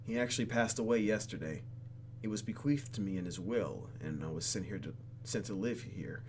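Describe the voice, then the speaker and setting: A man's voice: lowish voice, a little fast, a little mellow, a little hiss or hum, close to an everyday voice. A man reading the part of a troubled, guarded young woman in a visual novel game, hesitant and conversational. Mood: guarded, reflective.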